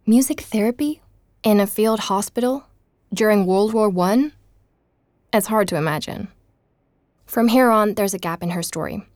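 A woman playing an earnest investigative journalist, narrating a podcast-style audio drama in a measured, inquisitive way. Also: lowish voice, darkish tone, no room sound, clean studio sound, natural voice. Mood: puzzled, grave. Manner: inquisitive, measured storytelling with rhetorical questions.

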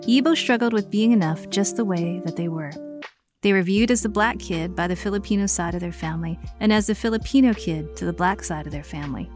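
A woman's voice: lowish voice, fairly quick, a little mellow, faint music behind. A woman with an American accent hosting a podcast, moving between scripted narration and conversational interviewing.

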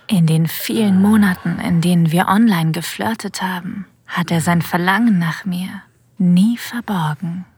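A woman playing a sensual, confident boss in an erotic audio drama, narrating intimately in a breathy whisper, ASMR style. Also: fairly low pitch, a little mellow, no room sound. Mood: aroused, intimate. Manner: slow, intimate, deliberate. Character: female boss having a secret affair, sensual and confident. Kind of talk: ASMR-like scripted first-person narration. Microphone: close, dry studio mic.

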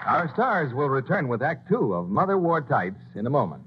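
A man announcing on an old radio show in a smooth, polished scripted delivery, heard through a muffled broadcast. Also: medium pitch, very animated, moderate pace, heavy background noise.

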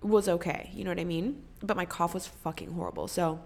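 A woman's voice: lowish voice, steady tone, fairly quick, vocal fry, darkish tone. A young woman hosting a podcast, chatting casually and rambling, swearing freely, and sounding congested. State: congested, coughing. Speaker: podcast host. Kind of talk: casual chat.

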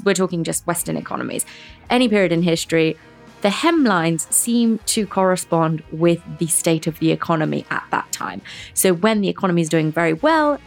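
Woman podcast co-host, Tamil first language, animated, laughs a lot. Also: on the low side, vocal fry. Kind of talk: casual chat.

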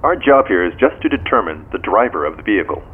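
An actor playing a composed, probing American police detective in an audio drama, speaking formally and in measured phrases over a phone. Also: male voice, lowish voice, sing-song, slightly rough. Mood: formal, pressing. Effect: phone call. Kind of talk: modern audio drama dialogue. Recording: tinny phone line with background noise.

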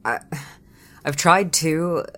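A gentle, apologetic caretaker in an audio drama. Her voice sits low, and she speaks slowly in halting, stammering fragments. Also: animated, heavily acted. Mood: awkward, subdued. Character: hesitant, apologetic, gentle caretaker of a moon base.

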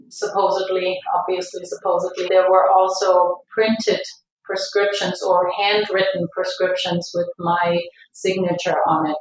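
A middle-aged woman from Germany with a German accent, recounting her experience conversationally as an interview guest. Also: medium pitch. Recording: echoey room, distant from the mic.

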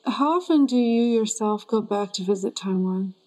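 Lady interviewer, warm mellow voice, keeps saying mm-hmm and right. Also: mid-range voice, expressive, normal speed, poor audio. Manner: attentive, lots of "mm-hmm" and "right". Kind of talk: interview with frequent backchannel.

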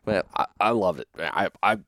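A man's voice: deep voice, expressive, moderate pace, fairly bright tone, no room sound, clean studio sound. A man hosting a casual fishing interview, asking rambling questions and laughing often. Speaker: podcast host.

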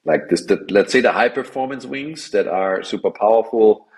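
A man's voice: on the low side, expressive, normal speed, a little mellow, low-quality recording. A man in his forties with an Austrian accent, a guest on a podcast interview, talking casually with many fillers and restarts. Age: 40s. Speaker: guest, wingfoil tour director.

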